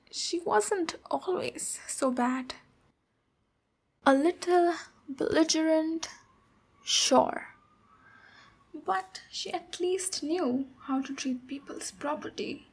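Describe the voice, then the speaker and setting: A woman playing a boisterous, protective female ogre warrior in an ASMR roleplay, speaking deliberately with pauses between phrases. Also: very high-pitched, darkish tone, heavily acted. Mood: disapproving. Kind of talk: ASMR roleplay, storytelling and direct address.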